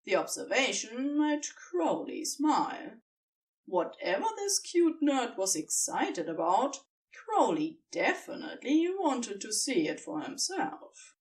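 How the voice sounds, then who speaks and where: Female narrator for fan fiction. Lively intonation, unhurried pace, expressive storytelling, a little off-mic. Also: a little high, recorded from a distance.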